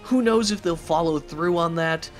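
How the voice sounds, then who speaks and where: A man hosting a channel, recapping game news with opinions and many fillers, his voice raspy and hoarse from a cough. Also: on the high side, normal speed, soft background music. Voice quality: raspy, strained. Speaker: YouTube channel host.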